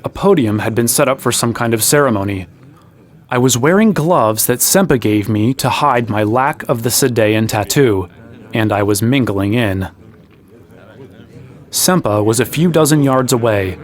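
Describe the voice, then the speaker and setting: A man's voice: medium pitch, expressive, moderate pace, lightly acted. A young actor playing an earnest fantasy hero, telling his adventure in conversational first person in an audio drama. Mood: matter-of-fact storytelling. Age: young adult.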